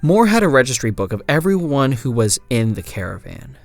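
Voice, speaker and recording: A man playing a wary frontier traveller, narrating a scripted horror journal in a grave, measured, dramatic way. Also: mid-range voice, sing-song, vocal fry, no echo, soft background music. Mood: grave, brooding.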